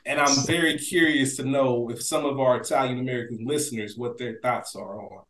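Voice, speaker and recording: A man's voice: medium pitch, steady tone, brisk, a bit bright. A man with a mid-range voice speaks in a very echoey room. He co-hosts a podcast, thinking aloud and explaining casually in a conversational flow. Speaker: podcast co-host. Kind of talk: casual discussion.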